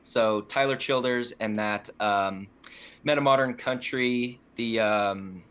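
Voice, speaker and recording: A man's voice: medium pitch, slow, a bit bright, clean tone. A young man, a musician guest on a podcast, telling stories in an easygoing, self-deprecating way. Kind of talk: casual interview chat.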